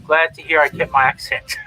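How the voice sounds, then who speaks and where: A man's voice: on the high side, level tone, normal speed, bright, forceful tone, faint music behind, low-quality recording. A man with an Australian accent hosting a drawing stream, chatting casually and reading out viewers' comments. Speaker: comic artist and stream host.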